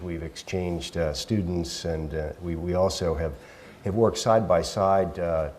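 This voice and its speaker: A middle-aged man with a very low, mellow voice gives a keynote lecture in a hall. He is soft-spoken and halting, full of "uh"s and restarts. A faint hiss sits under the recording. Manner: soft-spoken, hesitant with many fillers and restarts. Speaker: keynote speaker, professor. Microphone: handheld microphone.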